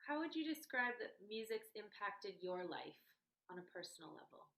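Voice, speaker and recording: A woman's voice: medium pitch, very animated, moderate pace, a bit bright. A woman asking interview questions in an appreciative manner.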